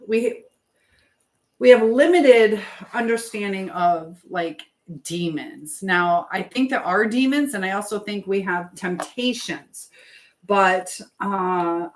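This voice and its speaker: Woman rambling through a casual livestream. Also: mid-range voice. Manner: rambling, trailing off, chatting while busy with kitchen work. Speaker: livestream host. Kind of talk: casual live chat, reading and answering viewer comments.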